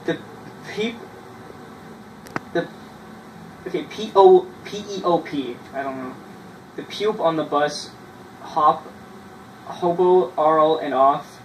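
A man, casually reading his old writing aloud in a halting way, with self-mocking asides, in a small bedroom. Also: a little high. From Canada. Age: 20s. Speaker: vlogger. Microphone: camera a few feet away. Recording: roomy, distant mic with noise.

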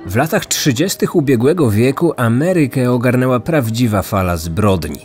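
A man's voice: mid-range voice, lively intonation, normal speed, darkish tone. A man in his thirties reading scripted true-crime narration as a podcast host. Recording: music under the voice. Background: background music.

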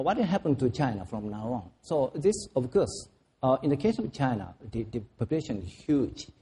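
A man on a panel discussion giving a measured explanation with some hesitations. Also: mid-range voice, dark tone, breathy edge.

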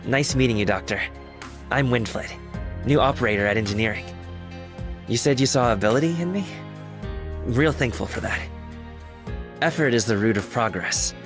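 A man's voice: mid-range voice, normal speed, pauses often, music in the background, lightly acted. A man voicing an earnest, humble, eager young engineer in a video game, with casual, clipped, sincere phrasing. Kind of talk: scripted game character voice lines.

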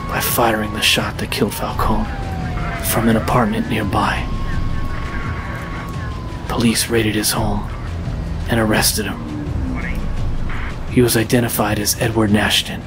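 An actor playing a brooding masked vigilante, grim and controlled, narrating an audio drama in a flat, terse, grave manner. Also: male voice, fairly low pitch, a little slow, long pauses, lightly acted. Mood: grim, measured. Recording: dramatic music under the voice.

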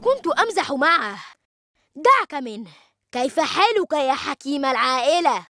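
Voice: high voice, very animated, moderate pace, bright, forceful tone, dry recording, studio quality, exaggerated voice. An actor voicing a cheeky young boy in a cartoon, who teases and mocks his siblings with sarcasm. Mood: teasing. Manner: sarcastic, teasing. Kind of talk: animated cartoon dialogue.

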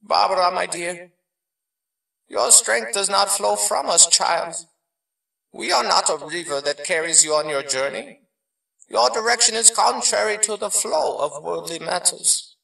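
An actor playing a wise old martial arts master spirit in an audio drama, speaking in a measured, formal, teaching manner. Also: male voice, sits high, vocal fry, bright, forceful tone, echoey room, far from the mic, exaggerated voice, rough recording. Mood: grave, caring.

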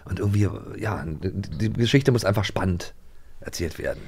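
A man's voice: deep voice, lively intonation, moderate pace, darkish tone, breathy edge. A man co-hosting a podcast in casual, joking chat, rambling with restarts and laughs into a studio mic. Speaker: podcast co-host.

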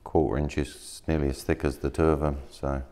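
A man in his thirties casually showing materials at a workshop table, talking in a relaxed, rambling way with plenty of fillers and restarts. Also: rumbling low, brisk, a little hiss or hum. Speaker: maker demonstrating laser-cut materials. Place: workshop, indoors, at a work table.

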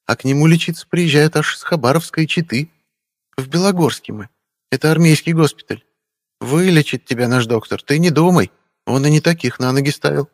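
A man's voice: fairly high pitch, a little fast, voice pushed up. A male audiobook reader voicing a kindly, motherly female nurse at an army hospital who talks in a soothing, homely way. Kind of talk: audiobook character voice.

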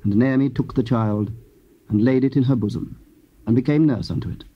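A man giving measured, solemn scripted scripture narration. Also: mid-range voice, slightly rough. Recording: dull old cassette tape.

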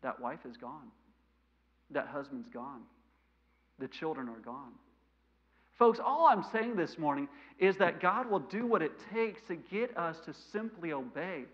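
A man in his thirties with a mild stutter, preaching a sermon in a church sanctuary, animated and gesturing, swinging between loud emphasis and quiet asides. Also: a little high, a little slow. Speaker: missionary preacher. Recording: lo-fi church recording.

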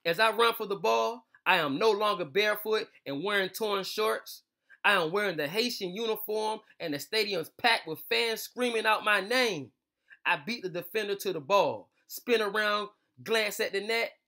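A man's voice: sits high, moderate pace, creaky, sharp tone. A young man hosting a children's read-aloud show, speaking informally and straight to his young listeners. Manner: informal, slangy, addressing young listeners directly. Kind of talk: casual intro and storybook read-aloud. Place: small room indoors.

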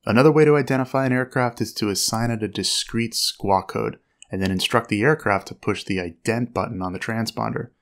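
A man narrating a scripted lesson as an instructor, with a clear, measured, explanatory delivery. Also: on the low side.

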